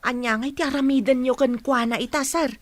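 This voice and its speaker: A woman's voice: high voice, steady tone, brisk, dry recording. A woman playing a caring household helper in an audio drama, respectful and questioning toward her employer. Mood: concerned. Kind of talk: Ilocano audio drama acting.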